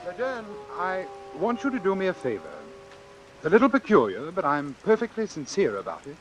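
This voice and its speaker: An actor playing a calculating, formal doctor who deliberately and persuasively arranges his own death in an old-time radio suspense drama. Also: sits high, big pitch swings, over music, poor audio. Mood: brooding, deliberate. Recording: hissy vintage radio recording with rain. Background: hiss and falling rain.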